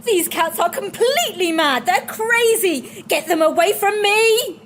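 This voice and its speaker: A woman playing a spiteful, haughty young stepsister in a storybook, shouting in shrill indignation. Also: expressive, a little slow, exaggerated voice. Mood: outraged.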